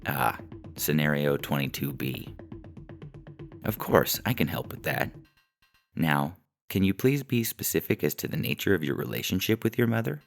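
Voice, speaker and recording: A man's voice: very deep voice, lively intonation, moderate pace, creaky, long pauses, faint music behind, heavily acted. A man voicing a blithely polite, callous male call-centre agent with scripted customer-service courtesy in a comic sci-fi story.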